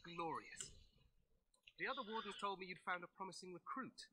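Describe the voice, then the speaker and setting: An actor playing a confident, glory-hungry young king in a fantasy game, with a hearty, grand, expressive delivery. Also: high-pitched, moderate pace, very noisy, natural voice. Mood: jovial. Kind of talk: dramatic fantasy game acting.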